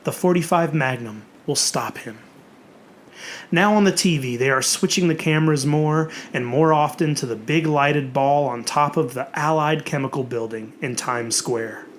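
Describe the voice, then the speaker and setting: A narrator reading a horror story in a theatrical, dramatic way, with emphatic, drawn-out words. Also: mid-range voice, slight background noise.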